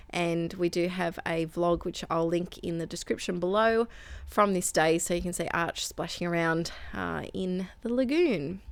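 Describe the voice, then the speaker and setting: A woman's voice: fairly low pitch, dry recording. An Australian woman chatting through a scrapbooking process video in a voice-over, rambling with asides and corrections while losing her voice. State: losing her voice, throat sore. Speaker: scrapbooking channel host. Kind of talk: voice-over craft process narration.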